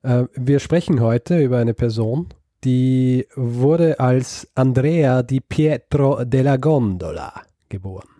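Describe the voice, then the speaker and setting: A man's voice: medium pitch, slow, mellow, no echo, clean studio sound. A man telling a story in casual chat, hesitant and full of ums and restarts. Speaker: podcast host telling a story.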